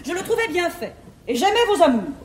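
A woman's voice: very high voice, sing-song, brisk. An actress playing an imperious, vain learned mother in classical French verse comedy, with grand, domineering declamation. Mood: vain, offended. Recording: echoey 1950s theatre recording, faint noise.

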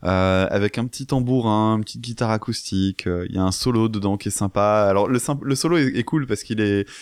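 A man's voice: lowish voice, fairly quick, smooth, dry recording, studio quality. A man co-hosting a music podcast, giving an enthusiastic, casual album review with hesitant phrasing.

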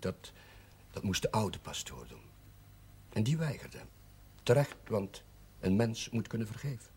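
An actor playing a kindly, persuasive village chaplain in a 1970s television drama, speaking in a measured, earnest way. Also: male voice, medium pitch, husky, exaggerated voice. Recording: noisy 1970s television soundtrack.

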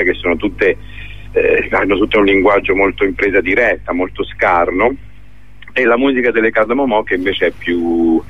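Over a thin, telephone-like connection comes a man with a low-pitched voice. He talks conversationally as a theatre director interviewed on radio, often hesitating as he searches for the right words. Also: breathy edge. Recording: thin phone-like radio line.

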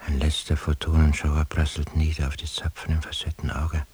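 An actor playing a weary, anguished, sleepless man in a radio drama monologue, morose and minutely observant, in a breathy, half-whispered, husky voice. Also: very deep voice, monotone, moderate pace, darkish tone, full character voice. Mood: intense, anguished.

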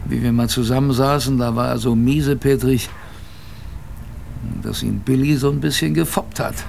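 A man acting in a radio drama as an earnest, plain-spoken storyteller recalling his youth on a polar expedition, conversational, with pauses and repetitions. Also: mid-range voice, fairly even intonation, gravelly, darkish tone, a little hiss or hum. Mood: reminiscing. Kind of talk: first-person radio drama narration.

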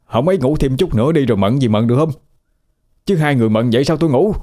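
A man's voice: mid-range voice, lively intonation, quick pace, dark tone, close to an everyday voice. Man playing a chatty, nosy Southern Vietnamese ghost. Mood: grumbling. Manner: talkative, fussy, flustered.

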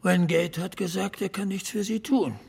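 A man's voice: sits high, fairly even intonation, moderate pace, creaky, a little mellow, slightly rough, exaggerated voice. An actor playing a dry, laconic small-town drinking buddy who needles his friend in a radio drama. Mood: dry, matter-of-fact.

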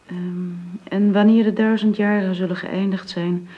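An actress playing a sober, composed Bible reader in a radio drama, with a measured, formal delivery. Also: female voice, mid-range voice, flat delivery, lo-fi sound, natural voice. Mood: solemn, composed.

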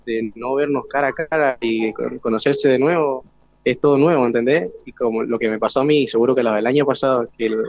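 A man's voice: mid-range voice, vocal fry, no echo. Young Argentine man, dry dull recording. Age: teens to young adult. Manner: colloquial, earnest, seeks agreement with question tags. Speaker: student guest. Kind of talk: informal interview answers.

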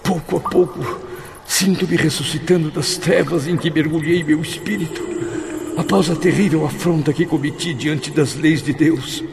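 A man's voice: on the high side, steady tone, normal speed, gravelly, background noise. An actor with a Brazilian accent playing a tormented, remorseful spirit who tells his own story with dramatic anguish in a radio drama. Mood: anguished, dazed. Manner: anguished, dramatic first-person recounting.

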